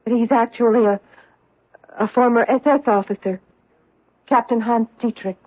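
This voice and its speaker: A woman's voice: on the high side, steady tone, normal speed, lightly acted. A woman playing a wary, troubled wife. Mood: urgent. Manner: hesitant, insistent. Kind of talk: 1970s radio drama acting. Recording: tinny old radio broadcast.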